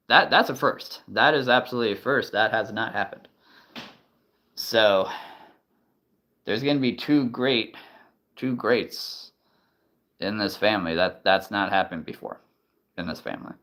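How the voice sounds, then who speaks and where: A man's voice: lowish voice, a little slow, pauses often, bright, forceful tone. A man in his thirties chatting casually to the camera, thinking aloud with many ums and restarts. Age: 30s. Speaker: vlogger.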